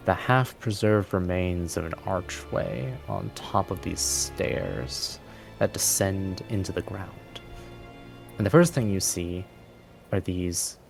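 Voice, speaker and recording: Man, very low gravelly voice, game master, descriptive fantasy narration, faint music behind. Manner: descriptive, deliberate storytelling.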